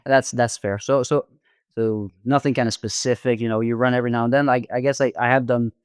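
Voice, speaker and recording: A man in his twenties with a Norwegian accent, chatting casually as a podcast guest, halting often with ums and repeated words. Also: mid-range voice, fairly even intonation, clean tone, no echo, clean studio sound. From Norway. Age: 20s. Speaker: podcast guest, amateur marathon runner. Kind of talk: casual interview chat.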